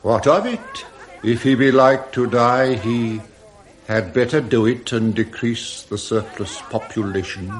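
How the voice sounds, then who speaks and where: Man acting stern british ghost in an old radio play, medium pitch, solemn and mocking, faint voices behind. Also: unhurried, a faint crowd, natural voice. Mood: scornful. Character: stern, mocking male Christmas spirit, solemn and commanding.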